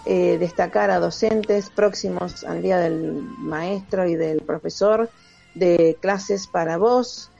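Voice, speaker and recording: A woman's voice: mid-range voice, gravelly, mellow, lo-fi sound. A woman radio host, chatting casually with guests, full of fillers and tag questions.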